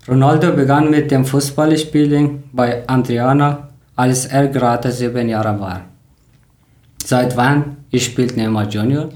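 Ein Mann aus Afghanistan mit Deutsch als Fremdsprache moderiert einen Podcast und spricht vorbereitete Sätze bedächtig vor. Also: male voice, mid-range voice, fairly even intonation, a little mellow, echoey room. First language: not German. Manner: careful, learner grammar, slightly halting. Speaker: podcast host. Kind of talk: reading prepared talk in learner German.

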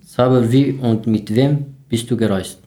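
Slow, mellow-voiced man in his thirties. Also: mid-range voice. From Syria. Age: 30s. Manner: careful, deliberate, with fillers. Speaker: guest. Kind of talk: casual podcast interview.

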